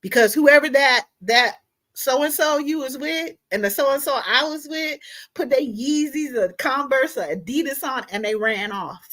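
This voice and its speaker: Woman, fairly high pitch, emphatic, direct address, informal online host. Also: a little slow, fairly bright tone. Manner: emphatic, direct address with repeated tag questions. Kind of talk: impassioned informal talk to viewers.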